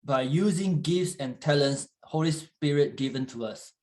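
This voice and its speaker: A man preaching in English, which is not his first language, pausing often with fillers and restarts while an interpreter translates. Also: on the high side, darkish tone. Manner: halting, with frequent fillers and restarts. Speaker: guest church leader. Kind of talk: sermon in English with live interpretation.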